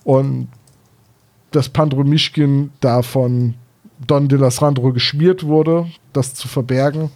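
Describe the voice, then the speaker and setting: A man's voice: medium pitch, steady tone, slow pace, darkish tone. A man talking casually as a podcast co-host, retelling and discussing stories.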